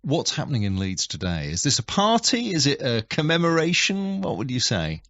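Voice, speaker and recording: A man's voice: medium pitch, huge pitch swings, normal speed, fairly bright tone, no echo, muffled recording. A man presenting local radio, interviewing in a chatty, sympathetic way. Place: radio studio.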